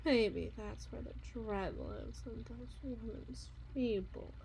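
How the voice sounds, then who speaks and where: A woman playing an obsessive, unhinged yandere wife in an ASMR roleplay monologue, theatrical and halting, with eerie, menacing tenderness and a breathy voice. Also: fairly high pitch, slight background noise. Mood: musing, bitter. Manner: theatrical, halting, drawn-out repetitions, swinging from hushed to intense.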